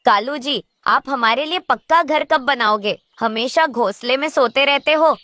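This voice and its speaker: A woman plays a wicked cartoon crow wife with a fairly high voice, recorded close and dry. She is bossy and wheedling, lazy herself yet always scheming. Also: a little slow, sharp tone, dry recording. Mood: nagging. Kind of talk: Hindi children's cartoon character voice.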